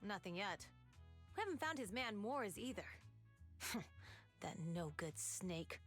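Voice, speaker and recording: A woman's voice: medium pitch, very animated, slow. An actress playing a bold, determined young female biker gang leader in a video game, speaking casually. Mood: frank. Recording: game music underneath.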